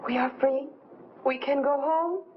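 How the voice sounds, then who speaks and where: An actress playing a young, earnest heroine in a dramatic 1950s film melodrama. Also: female voice, high-pitched, a little slow, noisy background. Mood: astonished, hopeful. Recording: muffled vintage film soundtrack, music behind.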